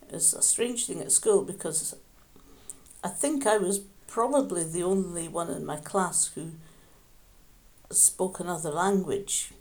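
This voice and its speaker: Low gravelly older woman. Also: measured pace. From Scotland. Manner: gentle, reflective, with frequent restarts. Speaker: interviewee, mother. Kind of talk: reminiscing conversation.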